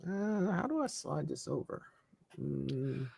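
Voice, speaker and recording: A man's voice: fairly high pitch, very animated, slow, vocal fry, darkish tone, slightly rough, no echo. A man hosting a casual live stream, talking loosely, laughing often and swearing offhand. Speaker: host.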